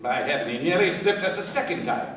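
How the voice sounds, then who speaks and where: An actor playing a commanding police inspector in a radio play, brisk and authoritative. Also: male voice, a little high, animated, fairly bright tone. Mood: brisk, businesslike. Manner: authoritative, brusque. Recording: echoey room, distant mic, faint noise.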